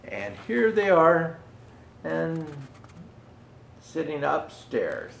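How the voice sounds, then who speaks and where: A man reading a children's storybook aloud as Santa, reading haltingly and pausing for asides to show the pictures. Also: fairly high pitch, very animated, gravelly, fairly bright tone, reverberant, slight background noise. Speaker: storyteller presenting as Santa Claus.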